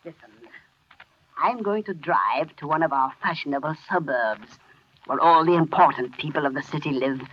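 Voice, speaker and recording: Man acting a high-pitched, husky mad poisoner. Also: normal speed, close to an everyday voice. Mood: gloating. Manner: theatrical, gloating, cackling. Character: gleefully insane male poisoner, a laboratory worker. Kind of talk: 1930s radio drama acting. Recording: muffled vintage 1930s radio recording.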